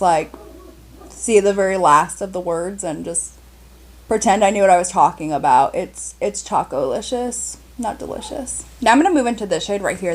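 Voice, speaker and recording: A young woman chatting casually through a makeup tutorial, upbeat and full of fillers, her voice a little cracky today. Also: on the low side. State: slightly cracky voice. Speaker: beauty vlogger.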